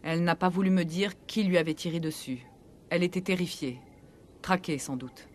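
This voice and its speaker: An earnest, frank clinic doctor from a video game, acted by a woman. Her voice sits a little low and she explains seriously, over faint background noise. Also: moderate pace, lightly acted. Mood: shaken, earnest. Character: earnest female human clinic doctor, shaken but frank.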